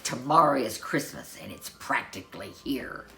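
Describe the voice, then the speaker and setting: A woman's voice: rumbling low, expressive, moderate pace, fairly bright tone, soft background music, full character voice. A woman voicing a sour, scheming old male grinch from a storybook, sneering and snarling his lines. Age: older. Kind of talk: storybook character voice.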